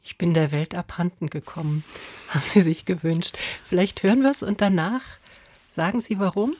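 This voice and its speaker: A woman's voice: fairly low pitch, moderate pace, dark tone. A woman hosting an interview, putting prepared questions in a conversational style. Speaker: interviewer, host.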